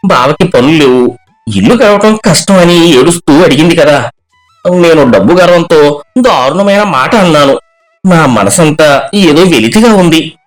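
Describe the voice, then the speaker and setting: A man's voice: on the high side, lively intonation, measured pace, soft background music, poor audio. A man playing a regretful city husband and younger brother in a cartoon moral story, confiding his guilt. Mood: guilty, sad.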